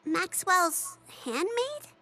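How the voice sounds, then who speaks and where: A woman's voice: very high voice, sing-song, very slow, bright, forceful tone, exaggerated voice. A woman playing a blunt, precocious little girl in a video game, nosy and demanding. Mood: pert, curious.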